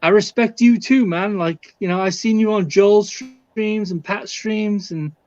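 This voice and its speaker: A man who grew up in Wales, calling in by phone to a fan stream, chatting in a friendly, halting way and repeating his words. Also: sits high, darkish tone, lo-fi sound. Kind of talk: casual chat.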